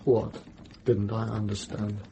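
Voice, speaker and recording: An actor in a radio drama playing a sick, confused, guilt-stricken monk who speaks haltingly. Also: male voice, fairly low pitch, little pitch movement, creaky, warm tone, dull audio, a little hiss or hum. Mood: anguished, guilt-stricken. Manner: halting, faltering, groaning speech.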